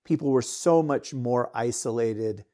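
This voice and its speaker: A man's voice: medium pitch, a little slow. Rambling candid middle-aged man. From United States. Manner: rambling, candid, wide swings in loudness. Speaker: podcast host and author. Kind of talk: casual solo talk.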